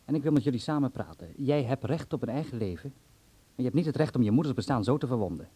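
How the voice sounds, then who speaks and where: An actor playing a middle-aged family doctor in a radio drama, concerned and paternal, steady and firmly admonishing. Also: male voice, mid-range voice, fast talker, slightly rough. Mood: firm, persuading.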